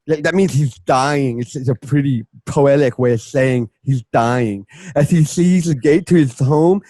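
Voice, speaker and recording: A man with a fairly high voice narrates a radio play in a dry, close sound. He treats the script loosely, slipping in wry, comic asides. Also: mellow, no room sound.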